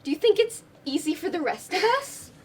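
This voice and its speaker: Casting: young woman as supportive, earnest student friend, very high voice, stage play picked up from afar. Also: animated, brisk, a little mellow. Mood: earnest, encouraging. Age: teenager or young adult student performer. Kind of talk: amateur stage play acting. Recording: distant, noisy live stage recording.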